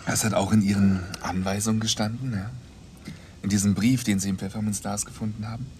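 A man's voice: lowish voice, level tone, darkish tone, slight background noise, lightly acted. An actor playing a shy, lonely young man in a crime radio play, answering hesitantly and awkwardly. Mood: quiet, resigned. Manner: hesitant, halting short answers. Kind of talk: 1990s German crime radio play acting.

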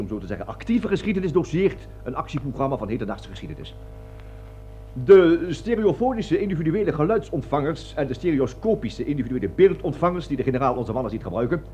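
An actor playing a pompous army colonel in a radio play, servile to superiors and explosive with subordinates, speaking long-winded military jargon. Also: male voice, medium pitch, darkish tone, lo-fi sound, natural voice. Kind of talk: radio drama acting.

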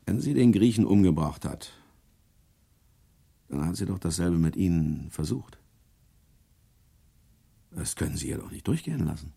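Gravelly, relentless prosecutor. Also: male voice, deep voice, sing-song, moderate pace, pauses often, mellow, exaggerated voice. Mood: pressing, insistent. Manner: insistent, interrogating. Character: relentless, cunning male prosecutor pressing a suspect. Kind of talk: German radio play acting.

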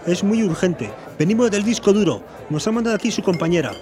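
Un hombre interpretando a un aventurero entusiasta e insistente en una ficción sonora. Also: male voice, high voice, lively intonation, fast talker, crowd noise. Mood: urgent. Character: eager, insistent male adventurer. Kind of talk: audio drama acting. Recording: noisy urban soundscape behind.

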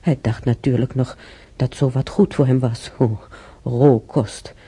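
A brooding older woman in a radio play, very dark husky voice, halting, sad. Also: a bass voice, fairly even intonation, exaggerated voice. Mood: indignant, grieving. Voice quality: husky, gravelly. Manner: halting, musing to herself. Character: older woman, sorrowful, brooding, recalling war years. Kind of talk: radio play monologue, reminiscing.

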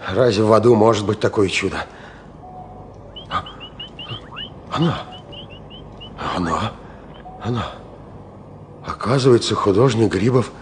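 An actor playing an exhausted wartime soldier-artist in a radio drama, gruff and defiant, muttering and cursing to his comrade. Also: fairly low pitch, a little hiss or hum. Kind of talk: Soviet radio drama acting.

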